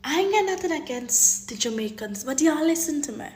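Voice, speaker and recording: A Guyanese woman telling a story in an animated, exasperated way, exclaiming as she goes. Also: high-pitched, a little fast, reverberant. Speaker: health care worker.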